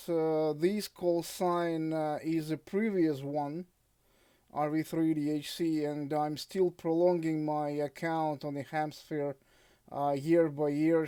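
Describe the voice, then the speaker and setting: A man with a Russian accent chatting as an amateur radio operator, with hesitant, halting phrasing. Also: a little high, dark tone, clean tone, no echo. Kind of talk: casual radio contact chat.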